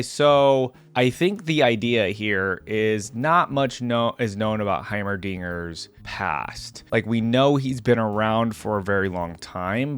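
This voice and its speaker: A man hosting a reaction video, chatting casually and reading lore aloud with conversational asides. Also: fairly low pitch. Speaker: reaction video creator, host.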